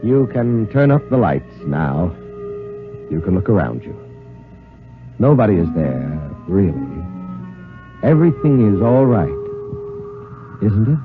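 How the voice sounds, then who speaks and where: A man with a low voice hosts an old radio show, measured and deliberate yet lively in pitch. Music plays clearly behind the muffled vintage recording. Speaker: radio show host and announcer. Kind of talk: 1950s radio hosting.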